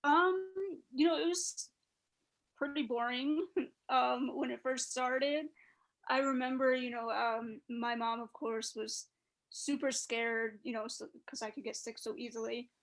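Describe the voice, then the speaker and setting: A woman's voice: a little high, fairly even intonation, unhurried, rough recording. A woman in her early thirties, a podcast guest, talking chattily with many "you know" fillers. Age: 30s. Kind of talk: casual chat.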